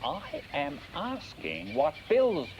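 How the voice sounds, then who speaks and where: A man's voice: on the high side, wildly swooping pitch, takes it slowly, darkish tone, heavily acted. A man voicing a grumpy, know-it-all male snail in a children's puppet show, grumbling and smug. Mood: grumbly smug. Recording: dull old TV audio with hiss.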